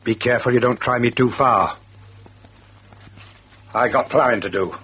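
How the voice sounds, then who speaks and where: A menacing countryman, rural English accent, extremely raspy, clipped, dull old radio-play sound. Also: mid-range voice, normal speed, bright, forceful tone. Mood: threatening. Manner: blunt, clipped, threatening. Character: surly, jealous, menacing male farmer. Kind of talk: radio drama acting. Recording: muffled old radio recording.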